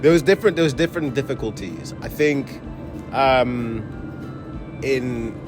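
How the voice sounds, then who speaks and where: A man's voice: medium pitch, unhurried, over music. A young man with a mixed London accent, talking loosely with many fillers and laughs as a comedian guest. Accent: London English. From France, raised in Portugal and London. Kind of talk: casual interview chat.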